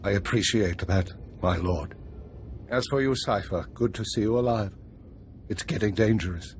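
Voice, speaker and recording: An actor playing a dutiful, restrained intelligence chief in a game cutscene, formal and guarded. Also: male voice, lowish voice, moderate pace, a little hiss or hum, lightly acted. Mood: stoic. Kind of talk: video game cutscene acting.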